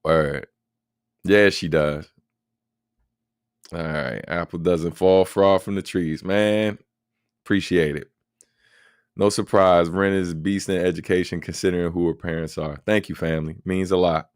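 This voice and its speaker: A man with a very low, deep voice hosts a laid-back live Q&A, talking easily and at length with lots of "um" and "like". The sound is dry and close, with no room echo. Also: measured pace, pauses often, clean tone. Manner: relaxed, talkative, many fillers. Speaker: host. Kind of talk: casual livestream Q&A.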